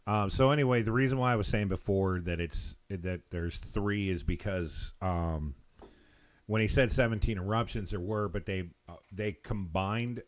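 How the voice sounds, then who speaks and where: An American man hosting a live call-in show, talking casually in a rambling, halting, informal way. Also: low voice. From Texas, United States.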